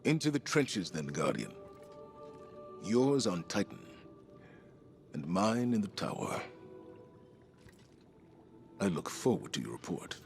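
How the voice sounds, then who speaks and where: An actor playing a dutiful, restless military commander in a game cutscene, with a grave, deliberate manner. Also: male voice, low-pitched, big pitch swings, gravelly, soft background music, exaggerated voice. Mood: resolute. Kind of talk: dramatic game cutscene dialogue.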